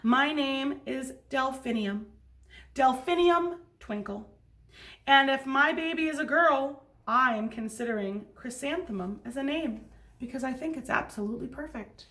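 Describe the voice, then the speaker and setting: A woman voicing a kindly, proud music teacher from a storybook, with deliberate, emphatic delivery. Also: high-pitched, a bit bright. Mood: proud, gentle.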